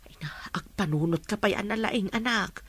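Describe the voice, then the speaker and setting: A woman's voice: mid-range voice, normal speed, fairly bright tone, slightly rough, no room sound. A Filipino woman playing a middle-aged, worried mother who cautions her grown son in a radio drama. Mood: fretful, resigned. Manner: fretful, cautioning. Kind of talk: Ilocano radio drama acting.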